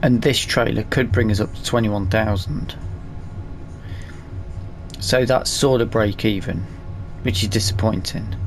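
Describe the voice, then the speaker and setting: A man's voice: on the low side, creaky, a little hiss or hum. A man giving casual let's play commentary on a game, thinking aloud as he goes. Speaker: gaming video host.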